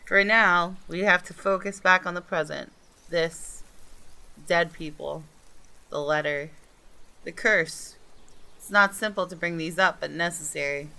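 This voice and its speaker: A woman narrating visual novel text aloud in a measured, dramatic, expressive way. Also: lowish voice, creaky, low-quality recording. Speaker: gaming video creator reading a game aloud.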